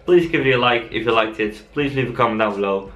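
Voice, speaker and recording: A young Dutch man with a Dutch accent, answering viewer questions in a relaxed, chatty vlog. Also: mid-range voice, fast talker. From Netherlands. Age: young adult. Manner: relaxed and chatty, frequent fillers. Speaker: cycle-touring vlogger. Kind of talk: casual vlog Q&A.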